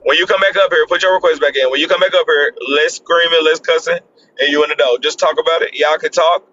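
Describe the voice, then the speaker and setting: A man with a bright, forceful voice moderating a live stream, firm and calming, repeating phrases to drive them home. The audio is dull and lo-fi with a faint hiss underneath. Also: a little high, fast talker. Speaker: host.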